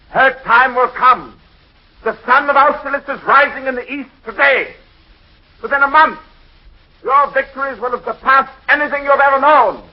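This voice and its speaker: An actor playing an imperious, conquering emperor with a declamatory, commanding manner in a 1940s radio drama. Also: male voice, very high-pitched. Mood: rousing, grandiose. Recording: scratchy muffled 1940s radio recording.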